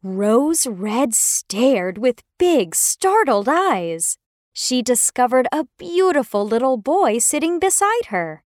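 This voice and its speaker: A woman tells a children's fairy tale with care. Her voice is high and melodic, and she lets each phrase land slowly. The recording is dry. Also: no room sound. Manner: expressive, careful. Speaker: storyteller.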